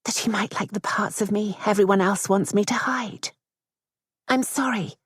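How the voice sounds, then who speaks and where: Woman reading doing a shy young lady, soft and shaky, kinda whispery. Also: medium pitch, normal speed, slightly rough, dry recording, studio quality, pitched above the performer's own voice. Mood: apologetic. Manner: soft, hesitant, trembling. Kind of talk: audiobook character voice.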